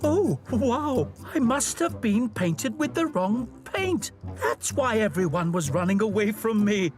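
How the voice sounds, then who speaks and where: A man's voice: high voice, very animated, measured pace, pitched above the performer's own voice. A man voicing a friendly, earnest male talking steam engine in a children's storybook tale. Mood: amused, relieved. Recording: music behind the voice.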